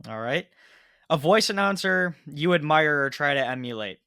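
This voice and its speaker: A man's voice: on the high side, lively intonation, moderate pace, pushed and bright, smooth, no echo, studio quality. An American man hosting a casual interview, asking questions and responding with a fan's enthusiasm. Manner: enthusiastic, fan-like. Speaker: interviewer, host.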